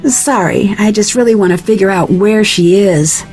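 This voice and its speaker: An actress playing an inquisitive, composed young detective in a video game, probing and matter-of-fact. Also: female voice, medium pitch, normal speed, a little mellow, soft background music, lightly acted.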